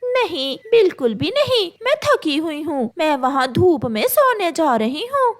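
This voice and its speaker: A woman voicing a vain, fickle female crow in an animated children's story, girlish and emphatic. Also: very high voice, unhurried, voice pushed up, full character voice. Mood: refusing. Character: vain, fickle young female crow who loves dancing.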